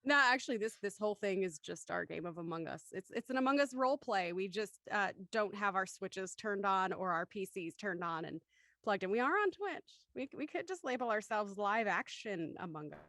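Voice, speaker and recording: A woman's voice: medium pitch, animated, moderate pace, fairly bright tone, no echo, studio quality. A woman hosting a live show with casual banter, playful and self-deprecating as she jokes around. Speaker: host.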